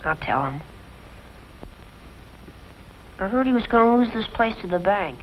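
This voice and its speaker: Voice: mid-range voice, expressive, quick pace, lightly acted. An actor playing an earnest, guilt-ridden young ranch boy in an old-time western drama, on a dull, noisy recording. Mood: subdued worry.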